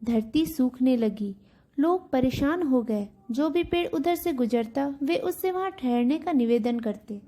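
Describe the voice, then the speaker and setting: A woman's voice: high voice, lively intonation, measured pace, dark tone. A woman telling a scripted children's story as a storybook narrator.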